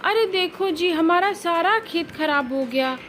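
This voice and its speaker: Woman voicing a high-pitched, plaintive wife bird. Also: lively intonation, measured pace, fairly bright tone, faint music behind, heavily acted. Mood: distressed. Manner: plaintive, lamenting. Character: worried female bird, a farmer's wife.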